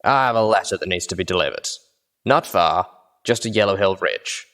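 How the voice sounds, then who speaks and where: A man's voice: low voice, lively intonation, moderate pace, fairly bright tone. Man playing a scowling, sarcastic pony gang lieutenant. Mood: businesslike. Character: menacing male slate-grey pony gang lieutenant, sarcastic and impatient. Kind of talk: audiobook character dialogue.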